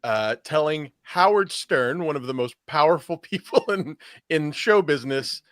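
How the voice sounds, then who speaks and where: A man's voice: a little high, animated, normal speed, fairly bright tone, no echo, studio quality. A man co-hosting a talk show with casual, conversational commentary. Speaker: show co-host.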